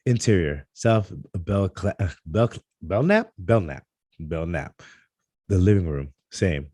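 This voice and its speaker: Man, low dark voice, scripted narration, halting read-aloud, close dry sound. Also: breathy edge, dry recording. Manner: halting read-aloud with stumbles over words. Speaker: stage-direction narrator.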